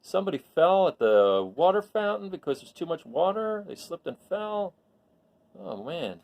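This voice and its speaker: A man reading a children's picture book aloud expressively, pausing for asides and questions to his listeners. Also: fairly high pitch, big pitch swings, vocal fry, darkish tone. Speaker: read-aloud storyteller.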